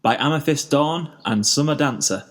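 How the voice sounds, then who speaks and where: A man reading a story aloud as narrator, in an expressive scripted style. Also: on the high side, normal speed. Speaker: fan fiction narrator.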